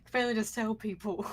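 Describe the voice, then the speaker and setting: Female podcast guest, mid-range pitch, gushing and informal, often repeats how a sentence opens. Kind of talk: casual podcast chat.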